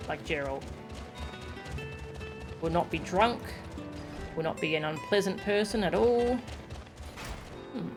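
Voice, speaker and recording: A woman with a fairly low voice comments casually on the game she is streaming. She muses to herself in an understated way, with music clearly audible behind her. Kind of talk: casual live gameplay commentary, reading text aloud.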